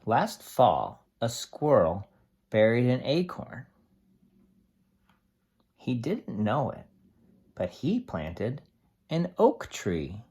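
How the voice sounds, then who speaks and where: A teacher reading a picture book aloud to young children, explaining things simply with expressive asides. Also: medium pitch, drawn-out words, pauses often, slightly rough.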